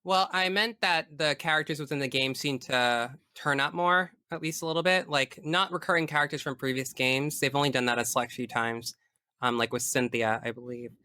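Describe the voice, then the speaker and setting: A man's voice: medium pitch, a little fast, pushed and bright, clean tone. A man speaking as a podcast guest, chatting casually about video games in a frank, geeky way.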